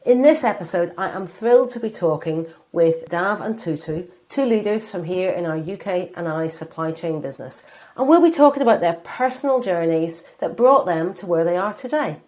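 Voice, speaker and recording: A woman's voice: medium pitch, lively intonation, normal speed, darkish tone. A woman hosting a company podcast, upbeat and encouraging as she introduces guests and asks questions. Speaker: podcast host. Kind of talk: hosted podcast interview.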